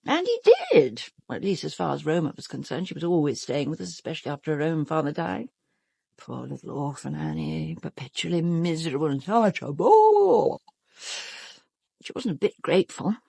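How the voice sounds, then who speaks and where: An actress playing a vain, self-absorbed stage star with a British accent, haughty and melodramatic in a radio drama. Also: female voice, low voice, sing-song, moderate pace, vocal fry, warm tone, slightly rough, no room sound, exaggerated voice. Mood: disdainful.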